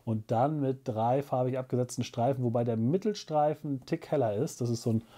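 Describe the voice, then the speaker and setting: A man's voice: mid-range voice, a little fast, mellow. A man in his forties presenting a casual shop show to camera, chatting in a rambling, jokey, self-ironic way. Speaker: shop owner presenting a weekly web show. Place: small cluttered indoor room.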